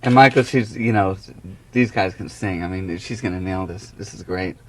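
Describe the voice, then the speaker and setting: A man's voice: sits low, normal speed, recorded from a distance, slight background noise. A man chatting casually in a recording studio, caught on an amateur video.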